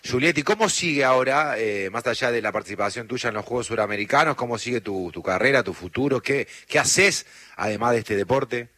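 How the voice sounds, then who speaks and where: A man with an Argentine accent interviewing an athlete on the radio, quick and engaged. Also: medium pitch, sharp tone, no echo, clean studio sound. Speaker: radio host.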